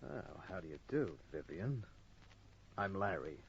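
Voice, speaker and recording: Husky hard-boiled detective. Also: male voice, deep voice, sing-song, a little slow, heavily acted. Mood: flirty, sardonic. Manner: hard-boiled, dry, clipped. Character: tough, flirtatious wisecracking male private detective. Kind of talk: old-time radio crime acting and narration. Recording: muffled old radio recording.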